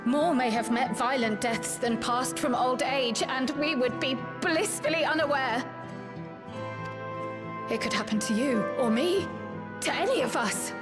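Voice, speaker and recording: A fearful townswoman from a game cutscene speaks in a somewhat high voice. She is grave, doubting and insistent, over faint music. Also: unhurried. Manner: insistent, grave, formal phrasing. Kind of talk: scripted video game cutscene acting. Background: faint background music.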